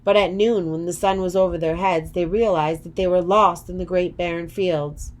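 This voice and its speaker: A woman narrates a children's story as a storyteller. Her voice is on the low side, and her reading is expressive. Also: moderate pace.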